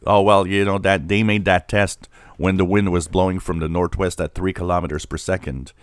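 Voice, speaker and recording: A man's voice: deep voice, flat delivery, moderate pace, no echo, clean studio sound. A middle-aged man from Canada giving a casual, unscripted explainer as a radio hobbyist, in a hesitant, conversational way. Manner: hesitant, conversational, repeats points plainly. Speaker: amateur radio hobbyist presenter.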